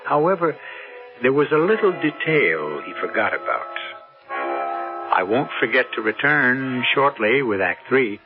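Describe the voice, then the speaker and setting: A muffled, narrow-band radio recording with music clearly behind it carries a male host. He narrates a mystery in a deliberate, suspenseful manner with rhetorical turns. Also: mid-range voice, big pitch swings. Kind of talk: dramatic scripted narration.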